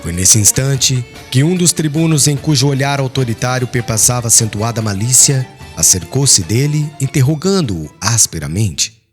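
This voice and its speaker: A male audiobook narrator reads literary prose in a solemn, dramatic style. His medium-pitched voice rises and falls widely, with faint music underneath. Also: normal speed. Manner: solemn, formal, expressive. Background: background music.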